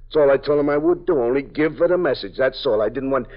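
A man's voice: medium pitch, fast talker, close to an everyday voice. An actor playing a nervous male parolee informant who stammers and pleads, in 1950s radio drama style. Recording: dull old radio broadcast.

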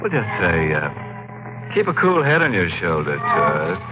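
A man's voice: deep voice, huge pitch swings, normal speed. A man playing a gleefully macabre, mock-sinister horror host in the theatrical style of 1940s radio. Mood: mock-sinister, teasing. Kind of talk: 1940s radio horror hosting, tongue-in-cheek. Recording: tinny narrow-band old radio, organ music.

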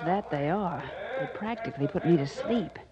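A woman's voice: lowish voice, lively intonation, moderate pace, lightly acted. An actress as a wry woman, crowd murmur behind. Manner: dry, sardonic. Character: wry, bored theatregoing female companion of a detective. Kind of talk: 1940s radio acting. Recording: muffled old radio with crowd murmur.

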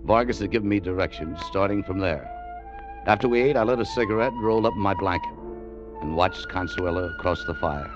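An actor playing a cool, tough American adventurer, sardonic and slangy, in a 1940s radio drama. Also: male voice, on the low side, a little fast, music in the background, natural voice. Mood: reflective. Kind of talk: 1940s radio drama acting, first-person narration. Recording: muffled, noisy vintage radio recording.

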